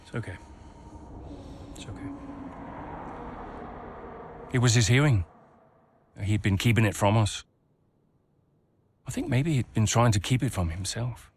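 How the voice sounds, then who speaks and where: An actor playing a racing team boss who talks in a persuasive, reassuring way. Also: male voice, fairly low pitch, very animated, a little slow, pauses often, a little hiss or hum.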